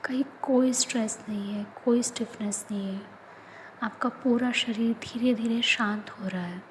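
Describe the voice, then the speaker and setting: A woman leading a guided yoga nidra relaxation, speaking in soft, often whispered instructions. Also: on the high side, fairly even intonation, normal speed, slight background noise, poor audio. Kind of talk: guided meditation.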